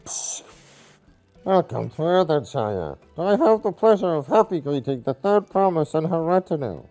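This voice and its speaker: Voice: medium pitch, very animated, moderate pace, warm tone, faint music behind. An actor playing a small, quirky goblin-like village elder in a video game, earnest and speaking in the third person. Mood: welcoming. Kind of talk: video game character acting.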